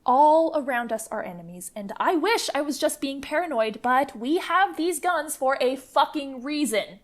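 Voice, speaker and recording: A woman acting the fierce, defiant leader of an armed commune in a radio play, forceful and foul-mouthed. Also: high voice, expressive, normal speed.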